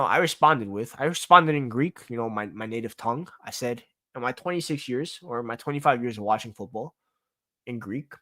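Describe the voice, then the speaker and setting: A man's voice: medium pitch, a bit bright. A young man hosting his own sports talk show, venting in a casual, exasperated rant full of repeats and swearing.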